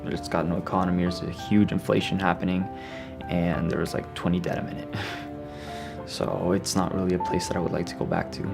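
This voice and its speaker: A young man who grew up in Venezuela, talking as a student in a documentary interview in a relaxed, conversational way. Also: low voice, normal speed, creaky. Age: young adult. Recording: faint music underneath.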